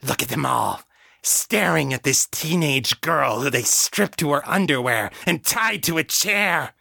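A man's voice: on the high side, animated, measured pace, creaky, sharp tone, breathy edge, no room sound, studio quality, voice pushed up, full character voice. A man voicing a teenage girl who puts on a sneering, mocking demon voice. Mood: mocking malice.

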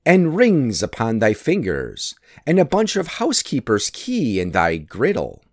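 A man's voice: mid-range voice, huge pitch swings, unhurried, dry recording, studio quality, full character voice. A man voicing a cunning, mocking trickster god from a myth, teasing and coaxing as he schemes. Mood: gleeful mockery. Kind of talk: dramatic myth storytelling.

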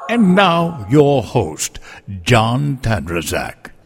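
A man's voice: medium pitch, huge pitch swings, extremely slow, very noisy. A man announcing a show in a scripted introduction over music. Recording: loud music under announcer.

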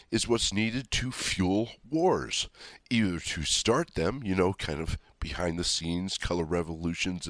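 Folksy talk radio host, middle-aged man, stuffy from a cold, lowish voice, dry close studio sound. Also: no echo. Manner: folksy, chatty, rambling asides. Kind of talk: conservative talk radio monologue.

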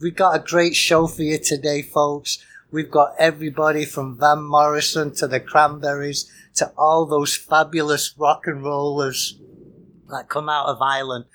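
A man's voice: a little high, a bit bright. A man co-hosting a music show with casual, jovial and rambling banter. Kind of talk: casual chatty radio-style banter.

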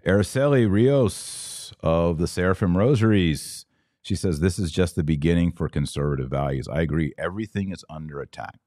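A man with a low-pitched voice speaks as a podcast host in a dry, close recording. He delivers opinion commentary as a monologue to his viewers. His manner is didactic and emphatic, punctuated with rhetorical check-ins. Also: moderate pace, warm tone, no room sound.

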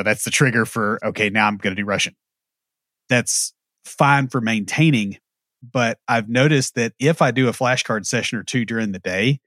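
A man's voice: medium pitch, a bit bright, no room sound. A man co-hosting a casual podcast conversation, explaining ideas thoughtfully with occasional dry asides. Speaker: podcast co-host.

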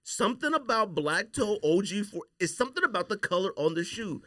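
Animated man talking casually, wide pitch swings. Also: brisk, dry recording, clean studio sound. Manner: slangy, opinionated. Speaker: sneaker podcast host. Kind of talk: casual chat.

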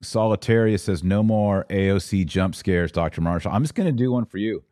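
A man's voice: fairly low pitch, brisk, dark tone, no echo, clean studio sound. A man hosting a podcast, chatting casually to viewers with wry asides as he comments and reads out their messages. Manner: conversational, wry joking asides. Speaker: podcast host.

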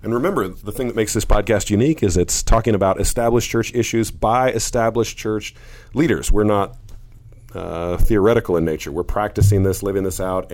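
A man's voice: low-pitched, a little mellow. A man hosting a podcast from a studio, talking casually in an upbeat, joking way with some fillers. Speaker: podcast host.

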